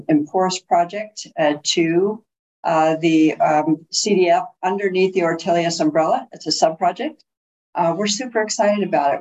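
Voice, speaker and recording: A woman's voice: lowish voice, a little mellow. A woman co-hosting a podcast, giving a casual update with many fillers and hesitations. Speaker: podcast co-host. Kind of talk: casual chat, project update. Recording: dull, muffled call audio.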